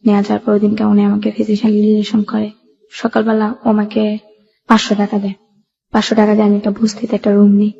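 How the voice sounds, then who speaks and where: A woman's voice: medium pitch, completely flat delivery, quick pace, vocal fry, dark tone, breathy edge, muffled recording. A young woman with a colloquial Bangladeshi Bengali accent, telling her life story in a plain, run-on way as a radio interview guest.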